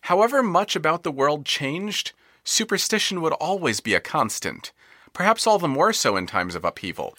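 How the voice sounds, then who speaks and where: A man narrating an audio drama in scripted, expressive storytelling, swelling with tension and dropping to hushed tones. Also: on the high side, moderate pace, no room sound.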